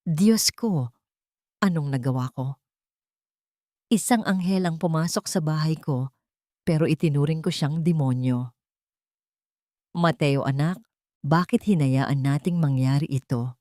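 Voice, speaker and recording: A woman's voice: low voice, unhurried, long pauses, warm tone, no room sound, clean studio sound. A woman with a Filipino accent voicing a frail, once-haughty old mother-in-law, anguished and pleading, in an audio story.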